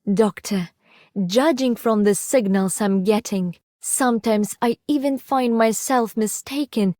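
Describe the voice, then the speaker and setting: A woman voicing a calm, sincere, reflective veteran in a video game. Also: fairly high pitch, measured pace, no room sound, clean studio sound, lightly acted. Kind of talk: video game character voice acting.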